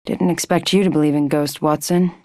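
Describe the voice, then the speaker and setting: A woman's voice: low-pitched, fairly even intonation, brisk, mellow, no echo, studio quality. An actress playing a haunted, skeptical woman fighter who speaks tersely and broods. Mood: brooding.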